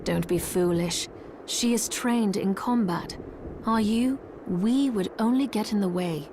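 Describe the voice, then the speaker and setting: A woman's voice: medium pitch, measured pace, background noise, natural voice. A woman playing a composed, courteous but firm church merchant in a video game. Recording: loud music behind the voice.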